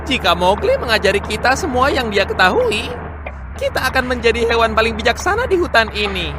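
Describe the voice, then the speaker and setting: An eager, scheming storybook monkey , acted by a woman, talks on the high side with very lively swoops. Music plays clearly behind the lines. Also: brisk. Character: scheming monkey of a dangerous jungle troop. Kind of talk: children's storybook dramatization.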